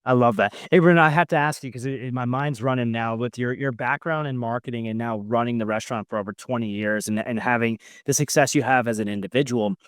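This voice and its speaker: A man's voice: mid-range voice, fast talker, few pauses, clean tone, no echo, studio quality. A man hosting a conversational business podcast, speaking emphatically and repeating key phrases for stress. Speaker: podcast host interviewing an entrepreneur.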